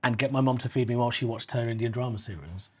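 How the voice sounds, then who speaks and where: A man in his thirties hosting a relaxed chat over a meal, talking in a reflective way. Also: medium pitch, quick pace, slightly rough. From England. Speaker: host.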